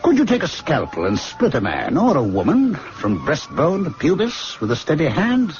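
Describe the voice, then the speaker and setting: A man's voice: a little high, huge pitch swings, a little mellow, slight background noise. An actor playing a sly, teasing, confident young medical man who needles and banters, in an old-fashioned radio drama. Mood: needling. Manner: needling, bantering, drawn-out questioning. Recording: dull lo-fi radio recording, music.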